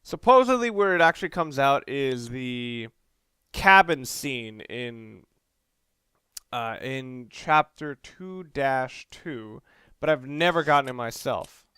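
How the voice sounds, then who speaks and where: A man's voice: mid-range voice, animated, slow, pushed and bright, smooth, no room sound, studio quality. A man giving casual, hesitant video game commentary with wry, self-deprecating asides. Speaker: video game let's player. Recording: game music and gunfire behind. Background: game music, gunfire.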